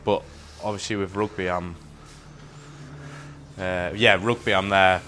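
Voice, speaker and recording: A man's voice: low voice, little pitch movement, measured pace, bright, forceful tone, a little hiss or hum. A man, chatting casually as a guest while playing pool, with lots of "like" and "um". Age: 20s. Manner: laid-back, lots of "like" and "um". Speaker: guest coach. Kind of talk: casual chat over a game of pool.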